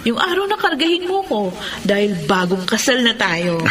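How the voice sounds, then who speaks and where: A woman's voice: a little high, sing-song, normal speed, a bit bright. An actress with a Filipino accent playing a devoted young live-in girlfriend in a radio drama. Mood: affectionate, reassuring. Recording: noisy ambience behind the voice.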